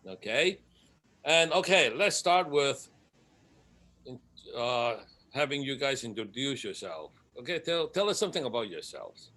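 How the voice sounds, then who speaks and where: A man's voice: medium pitch, very animated, measured pace, sharp tone. Man hosting a casual interview. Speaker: interview host.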